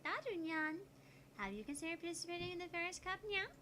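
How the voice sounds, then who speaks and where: Playful anime-game cat-girl maid, acted by a woman: high, cutesy, mewing verbal tics, faint background noise. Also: big pitch swings, fast talker, pushed and bright, heavily acted. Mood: bubbly, inviting. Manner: adds cat-like nya sounds to sentences.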